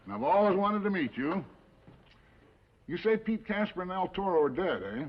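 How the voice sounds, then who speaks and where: Plain-spoken frontier sheriff, muffled sound. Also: male voice, high voice, animated, moderate pace. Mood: respectful. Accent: American. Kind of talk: 1950s television western acting.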